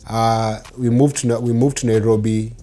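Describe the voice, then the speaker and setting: A man's voice: mid-range voice, fairly even intonation, normal speed, warm tone, soft background music. A man in his forties with a Kenyan accent and Swahili as his first language, telling his life story conversationally as an interview guest. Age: 40s.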